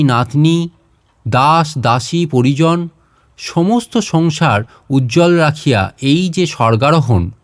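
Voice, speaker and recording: A man narrating literary fiction in a measured, expressive way, pausing between phrases. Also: fairly high pitch, dry recording, clean studio sound. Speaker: storyteller reading literary fiction. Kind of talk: dramatic literary narration in formal prose.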